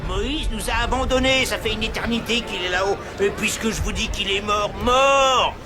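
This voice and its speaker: A man's voice: high-pitched, moderate pace, lightly acted. An actor playing an agitated, scornful rabble-rouser in a Bible cartoon, demanding and mocking as he declaims. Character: agitated, scornful male rabble-rouser among desert Israelites. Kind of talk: animated Bible film acting. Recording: loud music and crowd behind.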